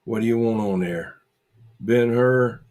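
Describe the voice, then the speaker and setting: A man hosting a casual livestream, chatting with viewers in a halting, repetitive way and muttering asides to himself. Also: mid-range voice, a little mellow. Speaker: livestream host.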